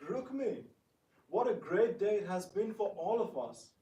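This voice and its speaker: A man playing a genial, trusting elder prince, measured and courteous, in a theatrical reading. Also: high voice, warm tone, highs cut off, natural voice. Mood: hearty. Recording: echoey room, distant microphone.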